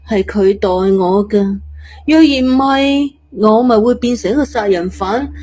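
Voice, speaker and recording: A woman's voice: a little high, a little mellow. A woman voicing a brash, chatty, blunt teenage girl with a morbid streak, speaking colloquial Cantonese in an audio story. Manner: cheeky, blunt, colloquial, muttering to herself.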